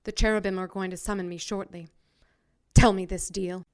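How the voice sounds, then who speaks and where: A crisp, resonant archangel acted by a woman speaks at a medium pitch. She is proud and righteous, coolly skeptical, in audio drama style. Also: little pitch movement, moderate pace, close to an everyday voice. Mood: righteous, defiant. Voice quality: smooth, clear. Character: proud, righteous female archangel, wary and defiant.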